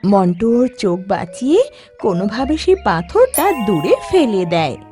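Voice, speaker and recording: Lively female storyteller over music. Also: medium pitch, normal speed. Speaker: narrator. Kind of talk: scripted cartoon story narration. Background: soft background music.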